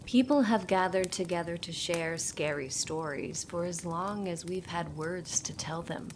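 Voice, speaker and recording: A woman narrating a scripted horror story as a storyteller, dramatic and deliberate, dropping at times toward a whisper. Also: on the low side, a little hiss or hum.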